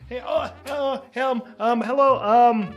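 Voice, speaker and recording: A man's voice: very high voice, soft background music, pitched above the performer's own voice. A man voicing a nervous, apologetic young rookie spaceship pilot who stammers and halts, in an improvised audio drama. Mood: flustered, apologetic. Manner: stammering, flustered, halting.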